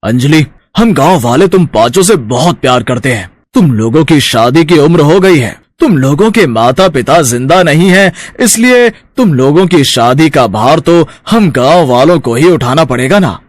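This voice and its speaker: A man's voice: fairly high pitch, animated, normal speed, dry recording, clean studio sound. A man voicing a kindly, fatherly village headman in a storybook tale, reassuring in manner.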